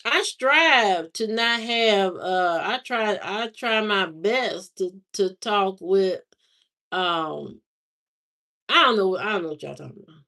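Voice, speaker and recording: A woman hosting a livestream, chatting casually with viewers in a slow, drawling, musing way. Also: medium pitch, animated, sharp tone. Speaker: livestream host. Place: lives in Indiana, not from there.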